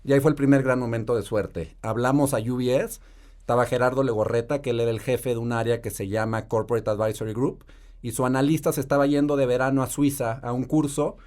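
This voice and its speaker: A Mexican man, probably in his thirties, a private equity investor telling his career story in a measured, articulate way. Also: mid-range voice, darkish tone. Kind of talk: podcast interview storytelling.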